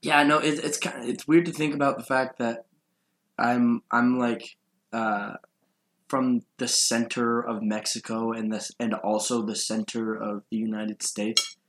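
A man co-hosts a casual podcast chat in an echoey room. His voice sits at an average pitch, and he talks informally with a wry, joking edge. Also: level tone, unhurried, a bit bright. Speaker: podcast host. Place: room in an apartment. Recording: roomy, echoey sound.

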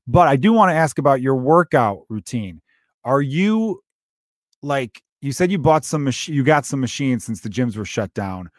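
A man's voice: medium pitch, big pitch swings, moderate pace, no echo, clean studio sound. A man hosting a podcast interview, asking questions in a rambling, joking, self-deprecating way. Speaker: podcast host. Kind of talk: casual interview chat.

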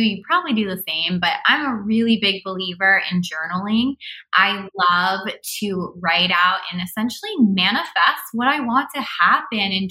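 A woman's voice: mid-range voice, pushed and bright, low-quality recording. A woman in her thirties chatting earnestly about motivation and gratitude in an upbeat, heartfelt way. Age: 30s. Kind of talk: casual podcast chat.

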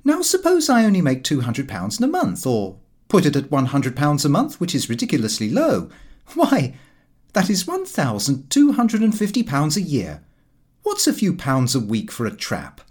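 Man playing a cocky, excitable young gent. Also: a little high, moderate pace, breathy edge, heavily acted. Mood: cocky, persuasive. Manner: slangy, breezy, self-assured.

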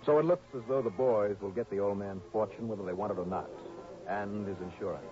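A man's voice: lowish voice, fairly quick, over music, close to an everyday voice. An American man playing a confident, shrewd insurance investigator in a 1960s radio drama, speaking in a clipped, assured, hard-boiled way. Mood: wry narration. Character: confident, shrewd male insurance investigator narrating his cases. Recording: dull old radio broadcast.

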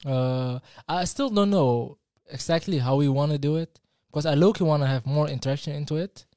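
Young man, non-native English, slightly high. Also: normal speed, mellow, dry recording, clean studio sound. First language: not English. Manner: joking, slight stutter when searching for words. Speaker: podcast co-host. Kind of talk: casual live-stream chat.

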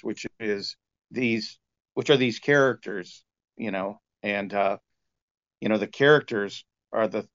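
A man being interviewed as a sound engineer, explaining in a conversational, halting way with lots of "you know". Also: mid-range voice, smooth. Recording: dull, lo-fi band-limited sound.

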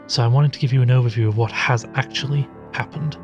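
A man playing a worried studio broadcaster investigating the occult in an audio drama, speaking in an earnest, measured way. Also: medium pitch, steady tone, lo-fi sound, faint music behind, close to an everyday voice. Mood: earnest, uneasy.

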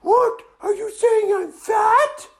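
A woman voicing a huge, touchy, greedy girl dragon in a storytelling, with a squawky, indignant, whining delivery. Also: slow. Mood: indignant, shrieking. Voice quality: shrill, squawky. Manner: indignant, whiny outbursts.

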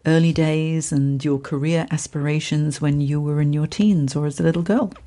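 Low, mellow-voiced woman podcast host. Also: fairly even intonation, moderate pace. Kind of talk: podcast interview.

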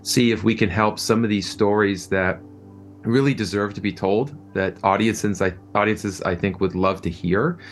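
A man's voice: fairly low pitch, steady tone, moderate pace, soft background music. A man answering interview questions as a producer, conversational and enthusiastic, often repeating words as he thinks. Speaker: film and audio drama producer being interviewed.

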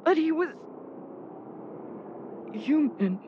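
A woman playing a wounded, tender-hearted young man in an audio drama, speaking in a halting, strained, pleading way. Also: sits high, expressive, full character voice. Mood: distressed, guilty. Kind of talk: naturalistic audio drama acting. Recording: dull sound with vehicle road noise.

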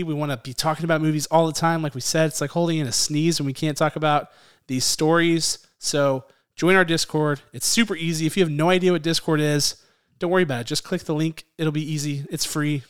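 A man talks casually as co-host of a movie podcast. His voice sits a bit high and sounds smooth and clear. He comes across upbeat and a little teasing. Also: steady tone, quick pace. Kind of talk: casual chat.

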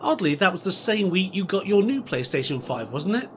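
Flippant, slow-witted male adventurer sidekick for audio comedy, played by a man; animated intonation, blithe banter, light background ambience. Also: high voice, a little hiss or hum. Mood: cheeky banter.

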